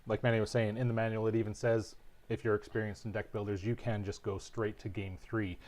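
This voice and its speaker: A man co-hosting a board game livestream, calmly walking through setup and rules in a relaxed, explanatory way. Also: fairly low pitch, brisk. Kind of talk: casual livestream rules teaching.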